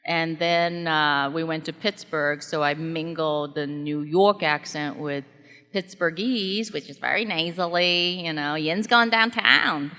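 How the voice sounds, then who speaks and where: Middle-aged woman, american accent, low but bright voice, lively stage chat, long hall echo. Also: smooth. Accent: General American. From came to the US as a child. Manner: animated, laughs often, quick asides. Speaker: guest actress on stage. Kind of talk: live stage interview. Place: stage at a live event hall.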